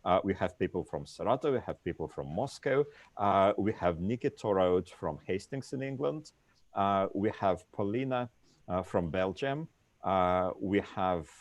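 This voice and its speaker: A man's voice: deep voice, expressive, a little slow, no echo. A man moderating an online panel discussion over a video call, reading out lists of places with frequent "uh" fillers.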